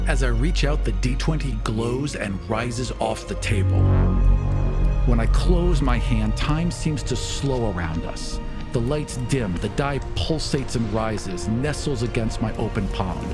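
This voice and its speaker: A man narrating a tabletop game as game master, in a deliberate, dramatic, descriptive style. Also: medium pitch. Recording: music behind the voice.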